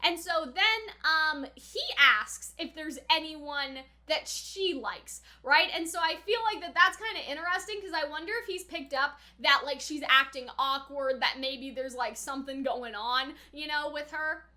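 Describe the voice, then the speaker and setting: A woman with a high, bright voice hosts a casual reaction video. She talks informally, working through her thoughts out loud as she comments. The delivery is chatty and conversational throughout. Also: measured pace, hardly any pauses. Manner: chatty, informal, thinking aloud. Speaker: anime reaction video host.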